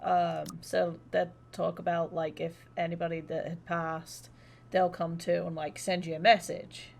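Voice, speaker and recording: A woman's voice: on the low side, little pitch movement, a little slow, gravelly, darkish tone, a little hiss or hum. A woman livestreaming a game, chatting casually in an informal, rambling, sweary way. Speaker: live streamer.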